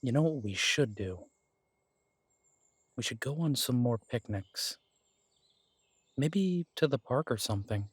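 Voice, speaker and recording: Mitch, a man playing a husky werewolf detective. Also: medium pitch, animated, dry recording, clean studio sound. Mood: warm, chatty. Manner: gentle, reassuring, unhurried. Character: male werewolf detective, protective, steady and reassuring. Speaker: audio drama performer. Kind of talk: romantic audio drama roleplay.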